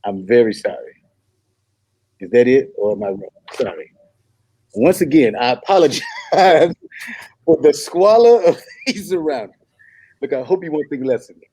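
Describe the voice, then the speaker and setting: Man acting a flustered apologetic suitor, lively husky voice. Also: medium pitch, dry recording, rough recording. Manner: stammering, halting, over-polite. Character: apologetic, flustered man, nervously fibbing to impress his date. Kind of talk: comedy table read.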